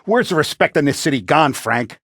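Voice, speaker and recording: An actor playing a tough, middle-aged Italian-American mafia boss in a video game, blunt and streetwise, with a commanding, vengeful manner. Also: male voice, fairly high pitch, lively intonation, fairly quick, fairly bright tone, no echo, studio quality. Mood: exasperated, angry. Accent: American, Italian-American gangster. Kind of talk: video game voice acting, 1930s gangster talk.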